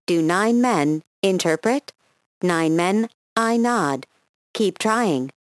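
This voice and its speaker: Slow, cryptic announcer voice. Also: female voice, on the low side, expressive. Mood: detached, cryptic. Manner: measured, detached. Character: cryptic, calm female announcer voice handing out riddle clues. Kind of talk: scripted announcements in audio drama.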